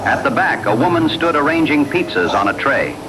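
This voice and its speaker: A man narrates a scripted horror tale in a slightly high voice. Loud rumbling, radio-like noise runs behind the narration. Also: very animated, a little fast.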